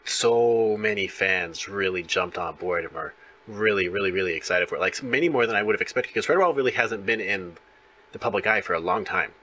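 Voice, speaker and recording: Bright, husky man chatting as interviewer. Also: sits low, brisk. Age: adult parent of young children. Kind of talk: casual fan interview chat.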